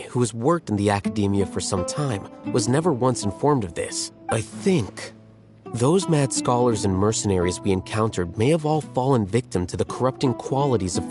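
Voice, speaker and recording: A man voicing a calm, scholarly strategist in a video game, speaking in a measured, explanatory way. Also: mid-range voice, lightly acted. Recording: game audio with faint music.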